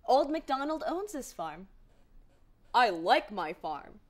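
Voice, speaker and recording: A woman teacher leading a primary class with encouraging, animated questions and read-aloud lines, recorded in a classroom. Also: on the high side, takes it slowly. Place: classroom with young children.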